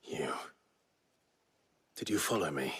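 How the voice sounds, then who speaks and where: An actor playing a grim, terse warrior on a search in a video game, brooding and low-key. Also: male voice, animated, takes it slowly, a bit bright, breathy edge, heavily acted. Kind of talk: video game cutscene acting.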